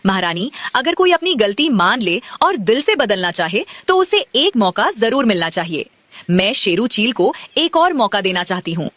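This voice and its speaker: A woman's voice: medium pitch, very animated, normal speed, fairly bright tone. A woman voicing a kind, patient, forgiving little female bird in a cartoon moral story.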